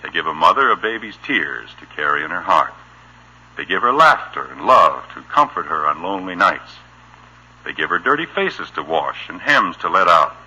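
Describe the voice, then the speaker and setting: Low, rough-edged American radio dad. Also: male voice, sing-song, normal speed, full character voice, low-quality recording. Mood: gently earnest. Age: middle-aged. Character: exasperated but tender middle-aged family father. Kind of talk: 1950s American radio sitcom acting. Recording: bright, rough old radio recording.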